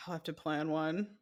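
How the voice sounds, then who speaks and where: A young woman co-hosting a casual podcast, rambling and laughing often as she talks. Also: sits low. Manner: giggly, rambling, many "like"s. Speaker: podcast co-host, game designer.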